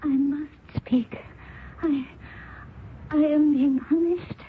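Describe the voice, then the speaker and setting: An actress playing a dying young Indian princess who speaks faintly and haltingly. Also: female voice, sits high, steady tone. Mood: fading, devoted. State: weak, dying. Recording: old radio broadcast, phone-like band with faint hiss.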